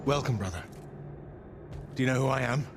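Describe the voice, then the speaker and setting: A man's voice: medium pitch, a little fast, gravelly, noisy background, close to an everyday voice. A man playing a grandiose, coolly menacing warlord mage in a video game, with a theatrical, imperious delivery. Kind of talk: video game cutscene acting.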